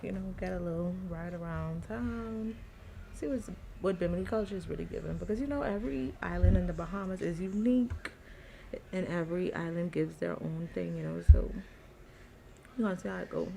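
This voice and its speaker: A young adult woman with a raspy voice chatting casually in a relaxed, slangy travel vlog. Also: on the low side, a little fast, a little hiss or hum. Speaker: vlogger.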